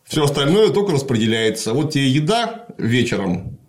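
A man in a casual conversation, explaining history at length in a lecturing way, often pausing with fillers. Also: medium pitch, very animated.

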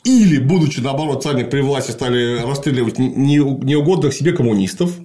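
A man with a mid-range voice that rises and falls a lot as he explains history. He lectures patiently, stopping often to pause and restart. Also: medium pitch, lively intonation, dark tone. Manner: lecturing, explanatory, with frequent fillers.

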